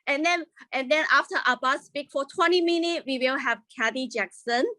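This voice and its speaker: Bright, high-voiced woman moderator. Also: moderate pace, no echo. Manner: warm, welcoming, thanks people at length. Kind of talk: introducing speakers at an event.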